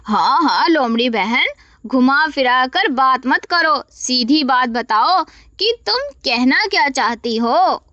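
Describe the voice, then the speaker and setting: A woman voicing an earnest, loyal elephant in an animated story, firm and plain-spoken. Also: high-pitched, takes it slowly, no room sound, lo-fi sound, pitched below the performer's own voice, heavily acted. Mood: questioning. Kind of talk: animated moral story acting.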